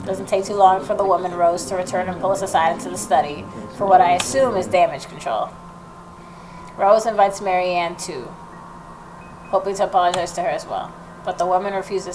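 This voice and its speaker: A woman hosting a gaming video, reading the story narration aloud and adding expressive reactions of her own. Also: on the low side, moderate pace, music in the background, rough recording. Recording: noticeable background noise.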